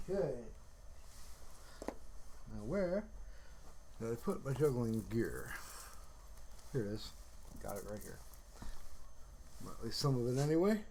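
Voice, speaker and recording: A man's voice: medium pitch, slight background noise. A man chatting casually on a livestream, rambling unhurriedly and musing aloud to himself. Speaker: live streamer. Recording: loud music over the voice. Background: loud music.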